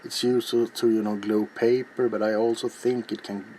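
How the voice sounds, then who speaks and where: A man's voice: fairly low pitch, level tone, moderate pace, dark tone. A middle-aged man hosting a casual ASMR craft tutorial, talking in a rambling, offhand, self-deprecating way as he works. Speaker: ASMR craft video host. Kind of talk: casual hands-on ASMR tutorial while working. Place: small cluttered indoor hobby workshop. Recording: noisy home recording, clear background noise.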